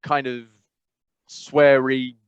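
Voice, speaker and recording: A man's voice: mid-range voice, level tone, very slow, clean tone, no echo, dull audio. A man co-hosting a podcast in casual chat, rambling and often correcting himself mid-sentence. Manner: rambling, self-correcting, frequent "I must be honest". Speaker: podcast co-host.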